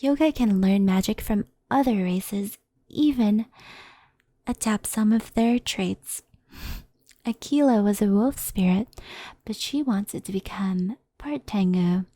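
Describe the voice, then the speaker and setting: A woman playing a gentle, wistful fox spirit in an ASMR roleplay, speaking softly and often in a whisper, close to the microphone. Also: medium pitch, no room sound. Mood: calm, explaining. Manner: soft-spoken, often whispery, unhurried explaining. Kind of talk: ASMR roleplay, soft storytelling. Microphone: close headphone ASMR microphone.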